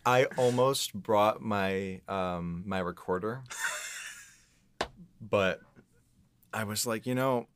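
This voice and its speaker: Low-voiced man chatting casually. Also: fairly even intonation, extremely slow, pauses often, a crowd in the background. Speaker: podcast guest, composer and audio engineer. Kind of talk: casual anecdotal chat.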